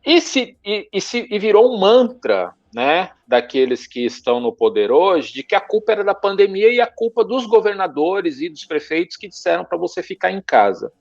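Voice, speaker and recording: Lively male talk show host, dry sound. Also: moderate pace, few pauses, no echo, muffled recording. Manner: lively, pitch jumps up when asking questions. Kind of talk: casual interview chat.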